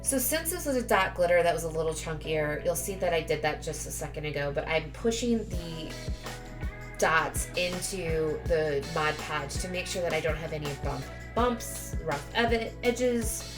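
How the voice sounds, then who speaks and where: Chatty lady doing a tutorial voiceover, kinda low voice, stuffed up with a cold, music clearly playing behind her. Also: moderate pace. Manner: chatty, self-correcting. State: congested with a cold, sniffly. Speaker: craft tutorial host. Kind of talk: casual tutorial voice-over. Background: background music.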